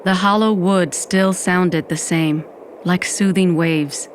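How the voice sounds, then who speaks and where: A woman's voice: fairly low pitch, fairly even intonation, takes it slowly, a little hiss or hum. A woman playing a sardonic undead woman, narrating an audio fiction in the first person. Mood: nostalgic. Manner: wry, dramatic, peppered with Spanish exclamations. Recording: music bed under the voice.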